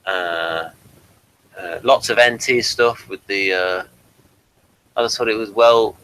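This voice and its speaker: A man's voice: fairly low pitch, takes it slowly, a bit bright, clear, resonant tone, poor audio. A man hosting a casual review chat, rambling and thinking aloud as he goes. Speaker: podcast review host.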